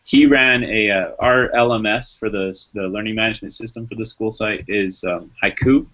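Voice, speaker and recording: A man's voice: lowish voice, steady tone, moderate pace, bright, forceful tone. A man chatting casually in an online group discussion, helpful and encouraging as he facilitates. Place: online video call. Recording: dull lo-fi video call.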